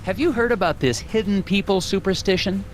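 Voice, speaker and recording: An actor playing an earnest, puzzled FBI agent in an animated game, hesitant yet matter-of-fact. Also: male voice, high-pitched, brisk, a little hiss or hum, lightly acted. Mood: inquisitive.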